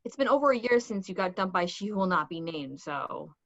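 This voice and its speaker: A woman's voice: medium pitch, animated, a little fast. A woman playing a peppy nineteen-year-old younger sister in a table read, needling and incredulous. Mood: scolding. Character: cute, peppy teenage barista, scolding younger sister. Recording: dull, lo-fi microphone sound.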